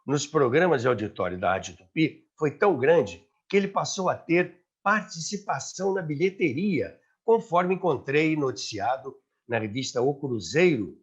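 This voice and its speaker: A man's voice: fairly high pitch, animated, normal speed, hardly any pauses, breathy edge, highs cut off. A man with a Brazilian accent hosting and narrating a documentary-style history, told as storytelling. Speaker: host and narrator of a radio history series.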